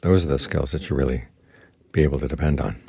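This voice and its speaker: Man, older, very deep voice, husky, dry close sound, slow calm meditation talk. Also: no room sound. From United States. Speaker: Buddhist monk teacher.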